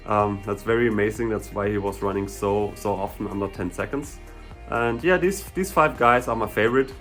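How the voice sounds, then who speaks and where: A young man from Germany with a German accent, a sprinter and hurdler answering viewer questions in a relaxed, chatty way, full of "you know" and "like". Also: on the low side. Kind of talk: casual Q&A vlog.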